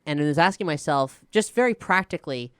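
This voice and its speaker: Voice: medium pitch, lively intonation, fast, clear, resonant tone, dry recording, studio quality. A showrunner and cast member telling stories in a reflective, expansive way on a casual Q&A panel. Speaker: showrunner, writer and cast member of an audio drama.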